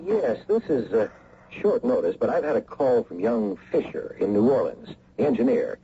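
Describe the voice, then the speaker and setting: An actor playing a treacherous American company executive in a radio drama, outwardly cordial, brisk and businesslike. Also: male voice, medium pitch, big pitch swings, dark tone. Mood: falsely cordial. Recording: dull, faintly noisy old radio recording.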